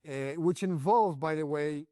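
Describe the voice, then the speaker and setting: A man's voice: fairly high pitch, slow, dark tone, no echo, clean studio sound. A man from Venezuela with a Venezuelan accent, a native Spanish speaker, giving political analysis as an interview guest.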